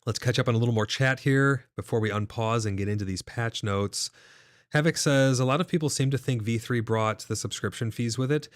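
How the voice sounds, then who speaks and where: A man's voice: medium pitch, brisk, no echo, clean studio sound. A man hosting a live gaming stream, reading chat aloud and answering viewers casually, his voice a little nasal and stuffed up. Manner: casual, reads chat messages aloud and replies. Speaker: livestream host.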